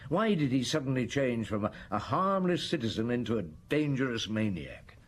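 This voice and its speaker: Brief: an actor as a deliberate detective inspector, expressive pitch, faint hiss. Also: male voice, natural voice. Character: methodical middle-aged male police inspector. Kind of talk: radio drama acting.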